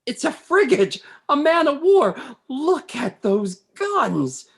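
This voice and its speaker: A woman's voice: high voice, slow pace, heavily acted. A woman playing an anxious, eager young ship's mate with urgent delivery in an amateur radio play. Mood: excited alarm. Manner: urgent, excitable.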